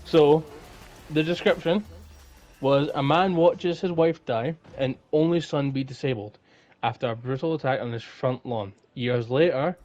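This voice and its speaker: A man's voice: medium pitch, darkish tone. A man co-hosting a podcast, talking in a casual, chatty style. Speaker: podcast co-host. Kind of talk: casual chat.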